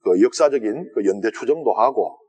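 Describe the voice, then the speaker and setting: A man with a Gyeongsang Korean accent lectures on scripture as a priest in a church sanctuary. His pitch sits mid-range for a man. He talks in a chatty, conversational way and keeps turning questions to the listeners. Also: animated, moderate pace, darkish tone, slightly rough, lo-fi sound. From South Korea. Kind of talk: lenten Bible lecture to a congregation.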